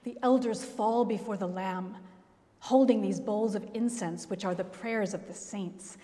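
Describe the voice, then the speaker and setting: A middle-aged woman pastor preaching a sermon at a pulpit, telling the story expressively with dramatic pauses. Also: medium pitch, dark tone. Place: large church, at a pulpit. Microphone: gooseneck pulpit mic. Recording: long church reverb.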